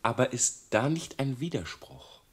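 An actor playing a timid, doubting young novice monk in a radio play, speaking in a hushed, wary way. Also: male voice, medium pitch, big pitch swings, unhurried, husky, no room sound.

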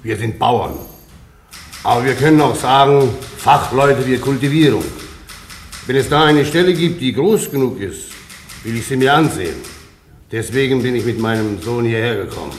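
An actor playing a resolute, earnest peasant father in a German radio drama, speaking deliberately and emphatically. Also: medium pitch, lightly acted. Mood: proud, insistent. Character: resolute, unbowed older German peasant father, refugee spokesman. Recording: noisy radio play with background ambience.

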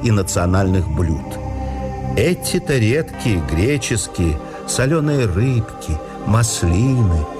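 A man reading a memoir aloud as a scripted radio narration, with a measured storytelling delivery. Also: big pitch swings, over music. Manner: measured, storytelling delivery with dips and lifts in pitch.

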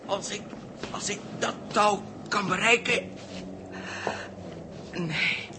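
A desperate, guilt-ridden spaceman in a vintage radio play. His voice is high and he speaks slowly, stumbling over repeated words as he pleads. The old recording is clearly noisy. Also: steady tone. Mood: frantic. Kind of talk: 1960s Dutch radio drama acting.

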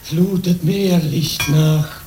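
A man's voice: a little high, fairly even intonation, extremely slow, echoey room, music in the background, low-quality recording. A man playing a grey-haired, impatient knight in a radio play, declaiming solemnly. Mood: awed. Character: ageing grey-haired knight, avenger, impatient yet awed. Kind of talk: German radio play acting.

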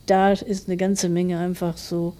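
A woman's voice: lowish voice, flat delivery, measured pace, warm tone. A middle-aged woman giving a reflective interview as a writer, thoughtfully explaining her views in long, winding sentences.

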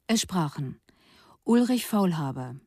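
A woman's voice: on the low side, big pitch swings, measured pace, dark tone, breathy edge, no echo, studio quality. A woman radio announcer reading formal scripted credits.

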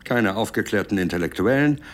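A man's voice: on the low side, expressive, brisk, natural voice. A man playing a dry intelligence officer in a documentary radio drama, with a matter-of-fact official manner.

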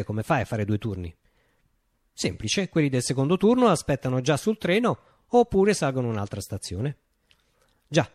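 An actor playing a visionary man recounting his utopian revolution in a declamatory, deliberate radio monologue. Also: a little high, darkish tone, no echo. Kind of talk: theatrical radio monologue, dramatic storytelling.

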